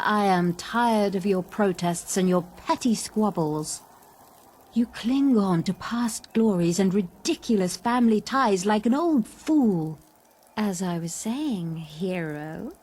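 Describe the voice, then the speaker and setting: An actress playing an aristocratic lady mayor in a video game, haughty and seductive, with measured, imperious speech. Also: medium pitch, a little mellow, slight background noise. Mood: scornful, then coolly inviting. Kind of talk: video game cutscene acting.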